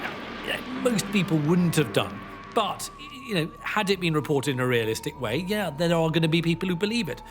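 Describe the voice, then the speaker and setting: Documentary commentator: man, fairly high voice, informal, faint music behind. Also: very animated, fairly quick. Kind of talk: conversational interview.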